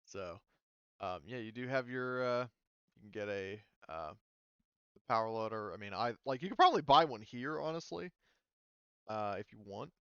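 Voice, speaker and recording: A man's voice: on the low side, animated, moderate pace, long pauses, bright, forceful tone, clear, resonant tone, no echo, lo-fi sound. A man running a tabletop game as game master, talking loosely with many fillers over a voice call.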